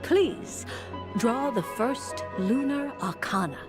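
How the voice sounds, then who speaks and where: An actress playing a refined woman mage and fortune-teller in a video game, speaking formally and courteously with measured eloquence. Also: on the high side, lively intonation. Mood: solemn, guiding. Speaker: fortune-telling mage character. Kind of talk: video game character acting. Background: music.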